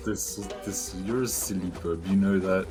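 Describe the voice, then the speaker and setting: A man's voice: sits low, fairly even intonation, normal speed. A man chatting on a gaming stream, giggling constantly as he talks. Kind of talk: casual gaming stream chat. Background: game music.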